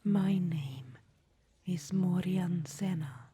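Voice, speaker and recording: A surly goblin leader blocking a gate, put on by a woman. The whisper drops lower and quieter than the narrator's, slow and breathy. Every answer is short and dismissive. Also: exaggerated voice. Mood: hostile. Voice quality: whispered, curt. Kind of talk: ASMR audio roleplay.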